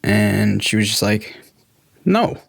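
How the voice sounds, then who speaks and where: A man's voice: on the low side, big pitch swings, slow pace, creaky, breathy edge, dry recording. A young American man talking candidly and informally about himself in a reflective personal conversation. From United States, born in Guatemala. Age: young adult. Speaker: podcast co-host telling his own life story.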